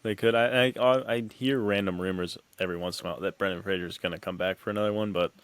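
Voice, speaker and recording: A man's voice: deep voice, steady tone, quick pace, no echo. A man hosting a podcast, chatting casually and giving commentary on films and books. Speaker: podcast host. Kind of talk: casual chat, film commentary.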